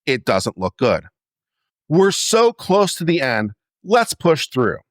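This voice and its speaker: A man reading a letter aloud as a dramatic performance, in a theatrical, expressive manner. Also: a little high, takes it slowly, no room sound, clean studio sound. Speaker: reader of a letter.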